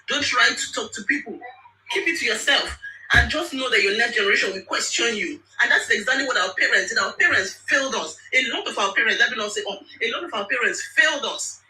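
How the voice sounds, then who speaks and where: A woman's voice: a little high, sharp tone. A woman from Lagos with a Nigerian accent, speaking emphatically and indignantly on a political topic in an online audio room, repeating words for force. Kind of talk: impassioned political rant. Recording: roomy, distant mic with faint noise.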